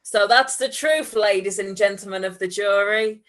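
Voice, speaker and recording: A woman's voice: mid-range voice, normal speed, a bit bright, lightly acted. A woman voicing an exasperated male amateur performer turned bank robber in a comic story, theatrical and sarcastic. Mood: pleading.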